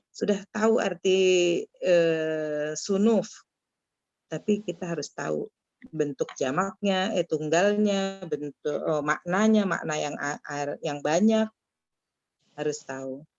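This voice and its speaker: Low-voiced woman teaching Arabic. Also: a little mellow, no room sound, lo-fi sound. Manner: corrective, drills pronunciation, repeats words slowly. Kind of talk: online class feedback.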